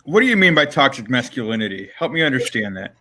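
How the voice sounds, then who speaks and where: Curious male host, bright voice. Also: medium pitch, very animated, fast talker, a little hiss or hum. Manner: curious, probing questions. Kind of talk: casual interview chat.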